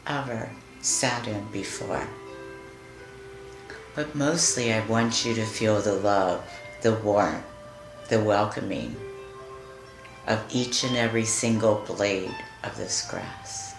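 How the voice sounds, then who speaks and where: A woman leading a guided meditation in a soothing, deliberate manner, leaving long pauses between phrases. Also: a bass voice. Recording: roomy echo with music behind. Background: music.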